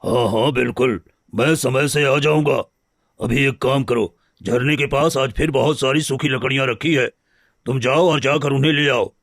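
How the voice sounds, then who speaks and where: A man's voice: medium pitch, moderate pace, vocal fry, fairly bright tone, no echo, clean studio sound. A man voicing a friendly, loyal lion, king of the jungle, in a cartoon story, plain-spoken and amiable. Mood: friendly, assuring. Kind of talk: Hindi cartoon story dialogue.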